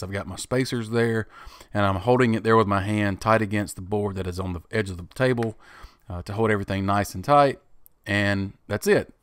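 A man's voice: on the low side, dry recording, studio quality. A middle-aged man hosting a woodworking channel, walking through a DIY project step by step in a plain, easygoing way. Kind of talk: DIY tutorial voice-over.

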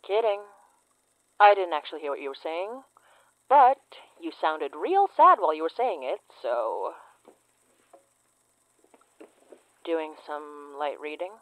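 A woman in her twenties playing a wry, teasing, trauma-worn investigator in a naturalistic horror audio drama, with a deadpan manner. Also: deep voice, unhurried, no echo, poor audio. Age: 20s.

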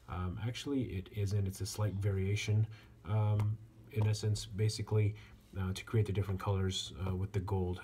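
A man giving a casual hands-on product review as a reviewer, speaking in a halting way with frequent pauses. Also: low voice. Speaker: watch reviewer. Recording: clear steady background noise.

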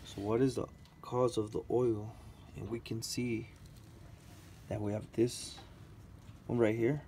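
A man's voice: lowish voice, flat delivery, slow, dark tone, a little hiss or hum. A man walking through a car sensor test in a hands-on repair tutorial, talking casually step by step while he works in a garage. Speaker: auto mechanic.

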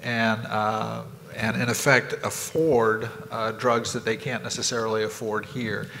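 A man moderating a conference panel, halting and hesitant with frequent fillers. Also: fairly low pitch, little pitch movement, vocal fry, a bit bright, big-room sound. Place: conference ballroom stage.

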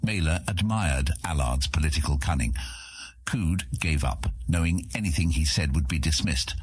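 Very deep, husky male narrator. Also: normal speed, no room sound. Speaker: audiobook narrator. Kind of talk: scripted fantasy narration.

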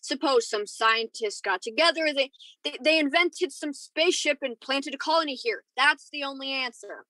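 Young spacefarer, played by a woman: high, sharp voice, earnest, excitable, radio play style. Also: moderate pace, no room sound, rough recording. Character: eager, excitable young crew member reporting to captain.

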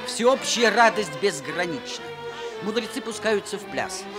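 An actor playing the storyteller of a fairy-tale radio play, narrating in a measured, theatrical way. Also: male voice, high-pitched, lively intonation, over loud music, over a noisy crowd. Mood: storytelling.

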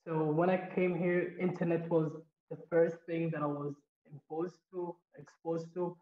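A man's voice: a little high, flat delivery, a little slow. A young man from Somaliland, a visual artist, talking through his work on a video call with frequent hesitations. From Somaliland, grew up partly in Saudi Arabia. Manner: hesitant, many fillers and restarts. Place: small room indoors. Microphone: laptop video call. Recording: roomy, dull video-call audio.

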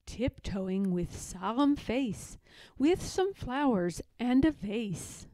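A woman reading a children's picture book aloud in a deliberate, expressive storytelling manner. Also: a little high, no room sound. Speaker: storybook reader.

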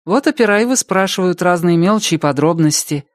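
A man's voice: high voice, animated, brisk, dry recording, clean studio sound, pitched above the performer's own voice. A man voicing a polite, matter-of-fact woman witness in an audiobook, composed and explanatory. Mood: matter-of-fact, explaining. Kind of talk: audiobook character dialogue.

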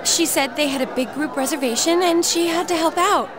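Very high-pitched feisty American schoolgirl, played by a woman. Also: brisk, natural voice. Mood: relieved. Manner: expressive, blunt, exclamatory. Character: feisty, excitable, worried teenage schoolgirl. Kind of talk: English anime-style video game dubbing. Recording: game music and background crowd.

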